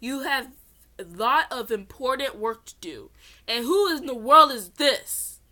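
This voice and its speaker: Young woman voicing an angry, loud, scolding priest. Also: high voice, animated, unhurried, full character voice. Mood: stern, scolding. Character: angry, stern, scary male priest scolding a pharaoh.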